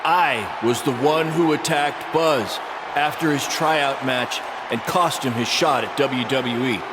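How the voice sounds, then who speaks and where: Actor as remorseful wrestler, roaring crowd behind. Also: male voice, mid-range voice, slow, close to an everyday voice. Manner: earnest, emphatic. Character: remorseful, broken veteran wrestler, proud former mentor. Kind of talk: wrestling promo on microphone. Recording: loud arena crowd and noise.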